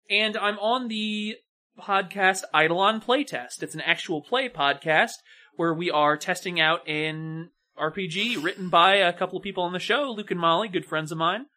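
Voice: mid-range voice, normal speed, pushed and bright. A podcast co-host chatting casually and giving opinions in a wry, mock-serious way. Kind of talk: casual opinionated review chat.

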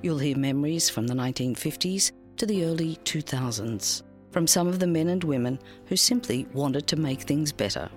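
A woman's voice: low voice, expressive, moderate pace, faint music behind. A woman with an Australian accent presenting a podcast in scripted narration. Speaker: podcast host.

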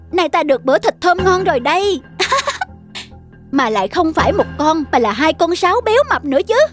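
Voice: extremely high pitch, sing-song, moderate pace. An actor voicing a sly, scheming wildcat who gloats and talks pompously as a false forest god. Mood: gloating, scheming. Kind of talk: children's animated fairy tale villain. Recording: music behind the voice.

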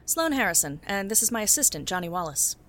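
A woman's voice: mid-range voice, fast, a little hiss or hum. A woman playing a wry hard-boiled private eye. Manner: wry, deadpan noir narration. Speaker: private detective narrator. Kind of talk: film noir narration.

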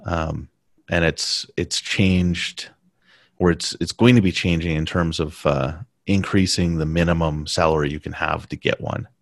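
A man talking casually in a conversation, pausing and restarting his sentences often. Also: low voice, steady tone, creaky, no echo, clean studio sound. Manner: halting, many restarts and fillers.

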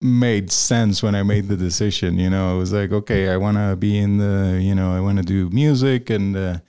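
A man's voice: deep voice, fairly even intonation, normal speed, warm tone, clean tone. A man from Venezuela, a guest on an interview show, chatting casually and rambling with lots of fillers and restarts. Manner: rambling, many fillers and restarts, laughs often. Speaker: podcast guest, freelance video producer.

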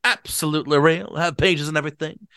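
A man voicing a stout, drunk middle-aged man who talks loudly and excitedly and repeats himself, while reading a novel aloud. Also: a little high, fairly quick, smooth, dry recording, clean studio sound, pitched above the performer's own voice. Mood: excited, triumphant.

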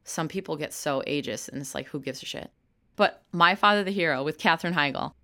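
A woman's voice: sits low, brisk, sharp tone. A woman in her thirties, an actor and comedian, answering interview questions frankly and laughing easily. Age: 30s.

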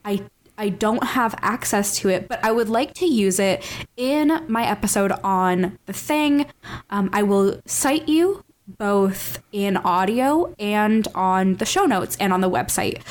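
A woman's voice: medium pitch, expressive, measured pace. A woman co-hosting a podcast, giving advice in an informal, emphatic, conversational way. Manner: informal, emphatic, acts out example dialogue. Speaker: podcast co-host.

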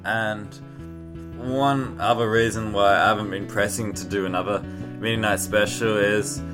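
Male podcast co-host, lowish voice, earnest rambling comic delivery over loud instrumental music. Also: fairly even intonation, moderate pace.

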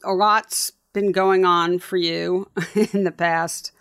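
A man's voice: sits high, level tone, slow pace, creaky, fairly bright tone, smooth, dry recording, studio quality. A man hosting a podcast interview, talking in a chatty, casual way with frequent restarts. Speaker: podcast host.

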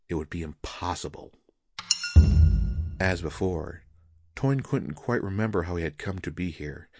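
A man narrating literary fiction as an audiobook reader, in a measured, solemn and dramatic manner. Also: deep voice, no echo, clean studio sound.

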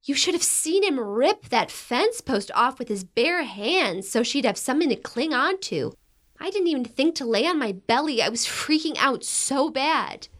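A high-voiced, blunt teenage big sister. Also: female voice, sing-song, moderate pace, a bit bright. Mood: shaken. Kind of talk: audio drama acting, naturalistic dialogue.

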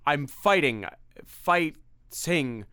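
A desperate young male hero, as a storybook reader puts him on, calling out loudly and urgently through strain. The voice is smooth and resonant, recorded close and dry. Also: on the high side, animated, drawn-out words, dry recording, voice pushed up, exaggerated voice.

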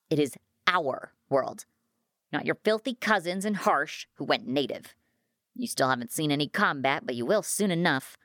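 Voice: mid-range voice, a bit bright, dry recording, clean studio sound. A woman voicing a stern, contemptuous male military superior who barks in a commanding way in an audiobook story.

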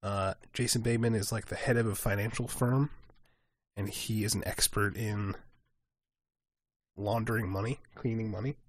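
A man co-hosting a podcast in casual chat, animated and acting out quick asides. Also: on the low side, dry recording. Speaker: podcast co-host.

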